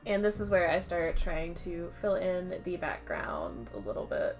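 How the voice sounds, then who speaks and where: A woman talking over a drawing video, slightly raspy from a cold, chatty and self-critical as she explains each step. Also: lowish voice, wildly swooping pitch, a little slow, soft background music. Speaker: art channel host. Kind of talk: voice-over narration of a drawing process.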